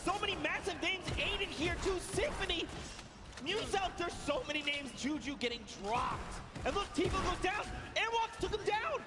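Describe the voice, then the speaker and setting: A man casting a live esports tournament in a large arena, excitable and surging on big plays, his voice going hoarse. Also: very high-pitched, normal speed, over loud music. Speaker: esports caster. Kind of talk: live esports play-by-play commentary.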